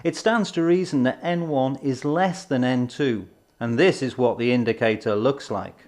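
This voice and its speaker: A man presenting an educational film in a scripted, measured, explanatory way with emphatic phrasing. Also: medium pitch, darkish tone. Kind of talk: scripted instructional narration. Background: background music.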